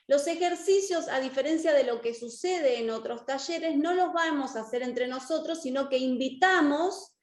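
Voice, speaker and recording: An Argentine woman with a very high voice teaches an online class. She is encouraging and often checks in with short questions. Her voice rings in an echoey room. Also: moderate pace, hardly any pauses. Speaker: course teacher and lawyer. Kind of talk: interactive online lecture.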